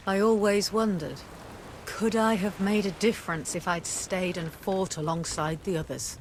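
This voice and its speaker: A woman's voice: lowish voice, slight background noise. An actress playing a guilt-ridden ex-pirate woman in a video game cutscene, speaking in an earnest, confessional way.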